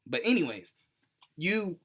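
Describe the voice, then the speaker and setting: A man in his twenties with an American accent, hosting a casual storytelling vlog in an animated, playful, slangy way. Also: high-pitched, a little slow, slightly rough. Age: 20s. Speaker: YouTube host.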